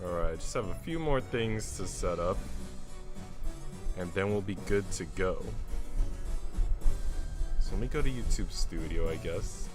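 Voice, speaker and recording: A man's voice: low-pitched, animated, unhurried, long pauses. A young adult man, chatting informally and slangily to viewers as a live streamer. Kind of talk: casual livestream chat with viewers. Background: music playing.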